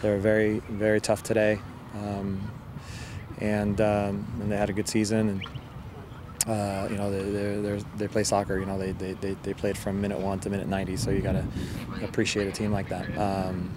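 A middle-aged man, a soccer coach, giving a post-match interview in a halting, measured way with repeated words, outdoors on a field. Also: on the low side, monotone. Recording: outdoor field recording with loud ambient noise.

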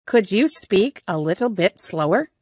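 A woman teaching English, saying each short everyday phrase several times, slowly and carefully for learners. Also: medium pitch, expressive, a little mellow, slightly rough, no echo, clean studio sound. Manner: slow, careful phrase repetition. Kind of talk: repeating phrases for language learners.

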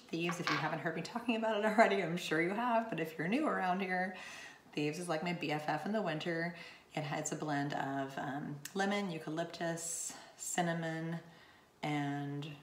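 A woman in her thirties, slightly hoarse from losing her voice, chatting through a home how-to demonstration at her bathroom counter. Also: low voice. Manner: chatty, explains while demonstrating with hands. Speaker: home DIY vlogger. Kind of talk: casual how-to vlog. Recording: roomy home recording, faint hiss.

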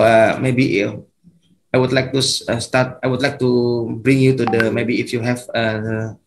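A man with an Indonesian accent, a native Indonesian speaker, giving an online academic lecture in a halting way, full of fillers and restarts. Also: mid-range voice, low-quality recording. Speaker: guest lecturer.

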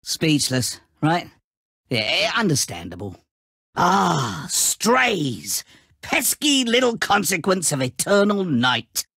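A man's voice: fairly high pitch, wildly swooping pitch, slow, bright, forceful tone, slightly rough, dry recording, studio quality, exaggerated voice. An actor playing a giddy, taunting, all-powerful snowman trickster in a video game, with a gleeful, mocking, hammy delivery. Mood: mock innocent.

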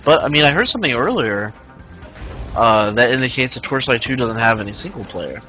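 Bright-voiced young man streaming casually. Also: medium pitch, expressive, a little fast, faint music behind, rough recording. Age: 20s. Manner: rambling, reads chat aloud, many fillers. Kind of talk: casual live-stream chat while gaming.

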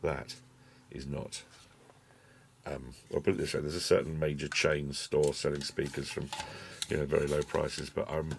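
A middle-aged British man talks casually through a product review with wry, colloquial asides. His voice is very low and noticeably gravelly. It is recorded on a camera at a desk, with faint background noise and small clicks. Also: flat delivery, moderate pace. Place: small cluttered indoor desk workspace.

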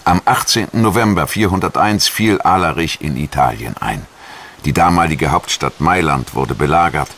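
Very deep-voiced male documentary narrator. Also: lively intonation, normal speed, fairly bright tone. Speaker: documentary narrator and voice-over translator.

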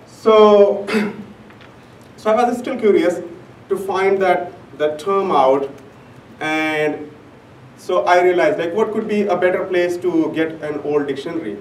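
A young man, a native Urdu speaker, presents at a conference in a fairly high voice, halting often with fillers. He is recorded from far off in an echoey room. A faint hum runs underneath. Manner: halting, many fillers and restarts. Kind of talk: academic conference talk. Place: conference room, large and indoors. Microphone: room camera mic from far off.

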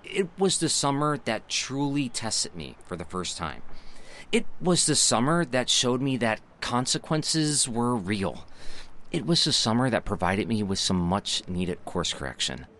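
An actor playing a reflective young man who narrates his memories of a formative summer in a measured, wistful way for an audio drama. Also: medium pitch, sing-song, fairly bright tone.

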